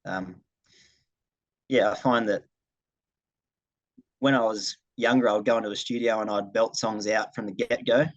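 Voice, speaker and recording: A man's voice: lowish voice, fairly even intonation, moderate pace, long pauses, no echo, muffled recording. A young Australian man, a singer-songwriter, answering interview questions in a casual way on a video call. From regional New South Wales, Australia. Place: video call, dry room.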